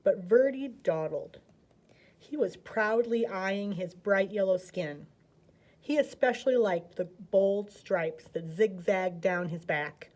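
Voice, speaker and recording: A woman's voice: mid-range voice, expressive, takes it slowly, darkish tone. An American woman narrating a children's picture book expressively as a storyteller.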